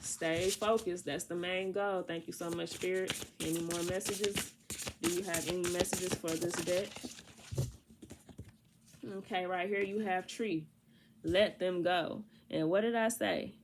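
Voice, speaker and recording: A woman's voice: fairly low pitch, fairly even intonation, unhurried, very noisy. A woman giving a casual tarot reading. She sounds congested from allergies and talks to the viewer in a chatty, slangy way. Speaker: tarot reader.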